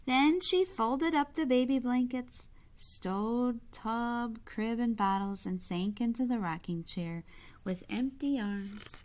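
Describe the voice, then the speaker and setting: A woman's voice: fairly high pitch, takes it slowly, darkish tone, low-quality recording. A woman reading a picture book aloud like a teacher, explaining as she goes and putting simple questions to young listeners.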